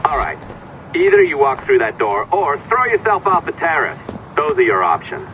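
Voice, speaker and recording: An actor playing a sarcastic, taunting hacker and blackmailer in a video game, with a conspiratorial manner. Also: male voice, a little high, huge pitch swings, normal speed, highs cut off, background noise. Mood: bossy. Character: sneering scheming man, hacker blackmailing the listener, taunting.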